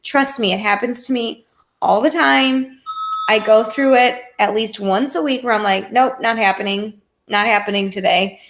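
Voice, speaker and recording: An American woman with a slightly high voice that often drops into a creaky fry. She talks like a fitness coach addressing her clients, informal and conversational, offering encouragement and practical advice. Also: moderate pace, fairly bright tone. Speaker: fitness coach leading a group coaching call. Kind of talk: casual motivational coaching talk.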